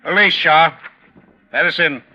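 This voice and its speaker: An actor playing a police lieutenant in a 1950s radio drama, brisk, official and authoritative. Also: male voice, fairly high pitch. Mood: commanding. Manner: official, matter-of-fact, authoritative. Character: brisk, businesslike male police lieutenant in charge. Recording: dull, noisy old radio recording.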